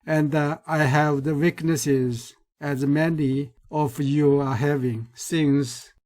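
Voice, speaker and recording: Chinese-accented male researcher, lecture voice-over, unhurried and careful, slightly raised pitch. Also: steady tone, a little mellow. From China. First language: Mandarin Chinese. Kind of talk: recorded lecture.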